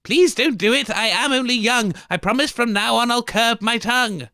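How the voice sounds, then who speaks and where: A man's voice: extremely high pitch, moderate pace, pushed and bright, no echo, studio quality, pitched above the performer's own voice, heavily acted. A man voicing a fussy, complaining old village woman in rhyming verse. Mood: pleading.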